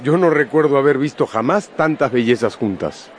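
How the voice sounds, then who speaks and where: Actor as animated, eager young gentleman. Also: medium pitch, moderate pace, slight background noise, natural voice. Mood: cheerful, coaxing. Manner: animated, coaxing. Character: cheerful, eager young gentleman smitten at a ball. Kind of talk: radio drama acting.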